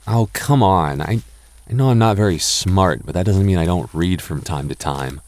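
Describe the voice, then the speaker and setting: A man's voice: deep voice, expressive, normal speed, a little mellow. A young man voicing a goofy, doting goth boyfriend in an ASMR roleplay, talking in a stammering, self-teasing way with frequent laughs. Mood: playful. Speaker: voice actor.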